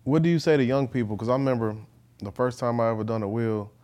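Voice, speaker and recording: A man's voice: medium pitch, fast, a little mellow. A man hosting a casual interview, speaking informally with slang. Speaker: podcast host.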